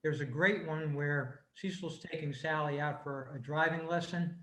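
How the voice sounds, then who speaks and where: A man presenting informally on a video call, explaining things in a halting, conversational way. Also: on the high side, fairly bright tone. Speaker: club member presenting. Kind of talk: casual explanatory talk. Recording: roomy, slightly noisy video-call audio.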